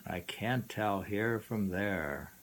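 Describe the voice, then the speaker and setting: A man reading poems aloud informally and musing on them in a halting way, often repeating lines. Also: on the low side. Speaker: poetry reader and commentator. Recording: noisy amateur recording.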